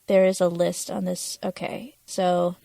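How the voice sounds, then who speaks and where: A woman's voice: lowish voice, little pitch movement, unhurried, creaky, mellow, no room sound. A woman talking casually as a podcast co-host, chatting through a television episode. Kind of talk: casual chat about a TV episode.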